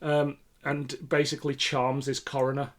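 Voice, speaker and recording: Guy doing a relaxed podcast review, opinionated and a bit sweary, medium pitch. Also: normal speed. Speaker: podcast co-host. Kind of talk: casual review chat.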